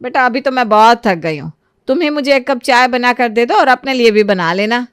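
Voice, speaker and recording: A woman voicing a kind, motherly middle-aged aunty with a plaintive manner in an animated moral story. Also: a little high, fairly quick, clean tone, no room sound, clean studio sound, lightly acted. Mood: dismayed, weary.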